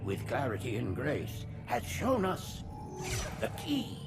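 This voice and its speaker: An actor playing a frail, sanctimonious old alien prophet in a game, preaching in a florid, theatrical way with a creaky voice. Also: male voice, wildly swooping pitch, slow pace, heavily acted. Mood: rapturous, pious. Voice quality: high, creaky. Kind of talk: cinematic science-fiction game acting. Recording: music behind the voice.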